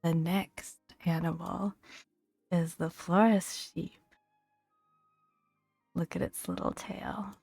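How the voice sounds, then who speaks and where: A woman speaking softly in a breathy near-whisper, gently and unhurriedly, in an ASMR style with pauses between phrases as she reads game entries aloud. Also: lowish voice, fairly even intonation, no room sound, soft background music. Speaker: gaming video host. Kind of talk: soft-spoken ASMR, reading game entries aloud with casual asides.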